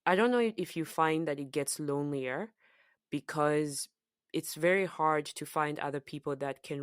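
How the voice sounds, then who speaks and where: A woman from Zambia whose accent is softened by years in the US and UK, co-hosting a podcast in casual, reflective chat with frequent fillers and restarts. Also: very deep voice, clear, resonant tone, dry recording, clean studio sound. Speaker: podcast co-host.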